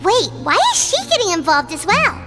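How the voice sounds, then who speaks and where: A woman's voice: big pitch swings, moderate pace, long reverb tail. A woman voicing a tiny, chatty fairy-like girl companion who talks about herself in the third person, in a video game. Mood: puzzled. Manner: speaks of herself in third person, high and bubbly. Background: game music.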